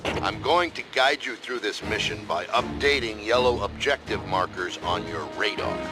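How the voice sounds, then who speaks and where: An actor playing a serious, authoritative military commander giving a crisp, formal mission briefing in a video game. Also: male voice, fairly low pitch, lively intonation, moderate pace, over loud music, lightly acted.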